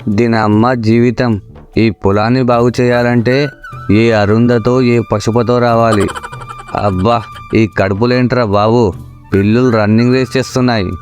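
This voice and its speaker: A man's voice: medium pitch, fairly even intonation, measured pace, a little mellow, faint music behind, natural voice. A man playing a lazy, cheeky young village loafer in a comic story, wisecracking in a flippant, mock-dramatic way. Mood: exasperated, clowning. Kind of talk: comic Telugu moral-story voice acting.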